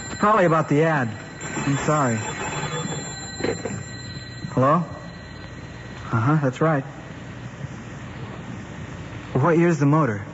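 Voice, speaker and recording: A man's voice: fairly high pitch, a little slow, long pauses, lightly acted. An actor playing a level-headed American teenage boy who talks in a casual, guarded way, in a family drama. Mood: polite, evasive. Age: young adult. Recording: muffled old recording, faint noise.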